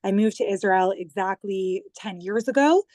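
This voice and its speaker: A woman's voice: mid-range voice, normal speed, darkish tone, no room sound, clean studio sound. Earnest American woman on a video call. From New York, United States. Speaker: guest, government digital communications head.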